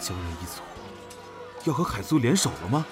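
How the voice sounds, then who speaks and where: A man's voice: medium pitch, sing-song, a little fast, over music, natural voice. A man playing a proud, brooding young military officer in an intense, dramatic radio drama style. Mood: alarmed, furious. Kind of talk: Chinese radio drama acting.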